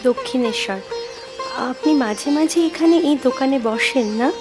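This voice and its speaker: Lonely young woman, high, reverberant. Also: a little slow, over music. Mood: earnest, intense. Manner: hesitant, earnest, lingering on words. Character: lonely, intense young woman, devoted reader. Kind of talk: Bengali audio drama acting.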